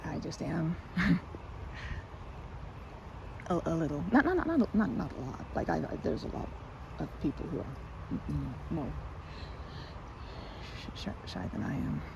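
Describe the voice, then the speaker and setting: A woman's voice: sits low, very animated, measured pace, creaky, long pauses, full character voice. A woman playing a timid, sweet, awkward young woman in an ASMR roleplay, stammering and hesitant, with a giggly, flustered manner. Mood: shy, flustered. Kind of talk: ASMR roleplay, improvised-sounding one-sided conversation. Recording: muffled with loud outdoor ambience noise.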